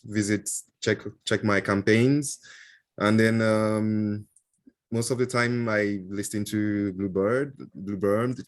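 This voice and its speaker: A man with a fairly low voice talks loosely as an interview guest, stopping often for "um" and "uh" and restarting his sentences. His is Igbo, and he is heard through a video call. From Nigeria. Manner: hesitant, many fillers and restarts, chuckles often. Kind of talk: casual interview answers.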